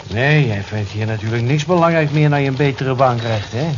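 A man's voice: medium pitch, a little fast, natural voice. An actor playing a chatty, nosy male office colleague who teases, in a radio drama. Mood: teasing, nosy. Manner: teasing, nosy, talkative. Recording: dull, noisy old radio play recording.

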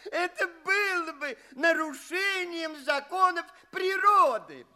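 An actor playing a pompous, condescending old alien professor in an audio play, with a drawn-out, theatrical delivery and repeated phrases. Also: male voice, very high voice, animated, sharp tone, exaggerated voice. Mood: indignant, mocking. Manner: drawn-out, chuckling, repeats himself.

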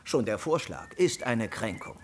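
An actor playing an excitable, easily offended gentleman with a husky voice and dramatic emphasis in a German radio drama. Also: on the low side, a little slow, a little hiss or hum. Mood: indignant.

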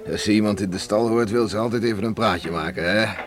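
A man acting a hearty, plain-spoken older farmer father with a halting way of talking in a radio play. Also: lowish voice, natural voice. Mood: proud, companionable. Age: middle-aged or older. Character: hearty farmer father, troubled by regret, welcoming. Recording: noisy old radio play recording.

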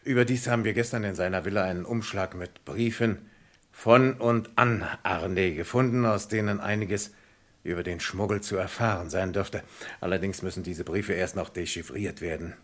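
Low-voiced sceptical police commissioner. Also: male voice, normal speed. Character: sceptical, methodical male French police commissioner. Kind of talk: German radio crime drama acting.

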